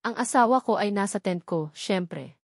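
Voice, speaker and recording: A woman's voice: mid-range voice, animated, normal speed, no room sound. Woman voicing a cheeky, flirtatious male warrior, dry sound. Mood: teasing. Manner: teasing, flirtatious.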